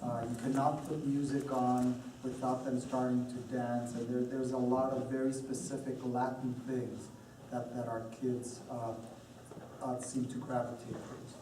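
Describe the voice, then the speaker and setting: A man from Colombia telling about his family in a group discussion, in a steady way. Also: mid-range voice, moderate pace. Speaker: panel speaker, parent. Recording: echoey room, distant mic, audible noise.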